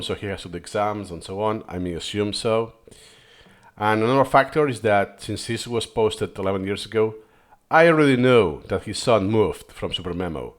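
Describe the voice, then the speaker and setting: A man whose first language is Catalan, thinking aloud as he comments on a video, digressing and correcting himself as he goes. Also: on the low side. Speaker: video host reviewing footage.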